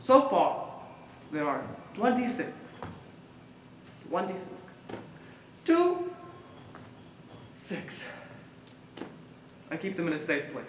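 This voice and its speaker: A woman's voice: fairly low pitch, very animated, takes it slowly, pauses often. A woman on stage playing an obsessive, troubled, protective inner voice, fixated and repetitive in her intensity. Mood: obsessive, anxious. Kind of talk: theatrical stage monologue. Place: stage in a hall, one-act play performance. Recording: echoey hall from far off, faint noise.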